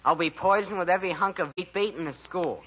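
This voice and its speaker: A man's voice: high-pitched, fast, natural voice. A man playing an earnest, self-pitying fifteen-year-old son who stammers, in a 1940s radio sitcom. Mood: aggrieved. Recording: dull, noisy vintage radio recording.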